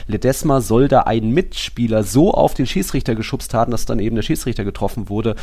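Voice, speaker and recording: Guy hosting a football podcast, mid pitched voice, chatty recaps with little asides, pretty casual. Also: fast talker, warm tone. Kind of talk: casual sports podcast discussion.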